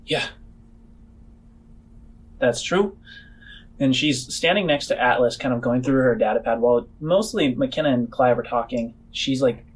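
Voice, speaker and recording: A man's voice: medium pitch, measured pace, long pauses, breathy edge. A man narrating a tabletop roleplaying game as game master, improvising and making spoken sound effects.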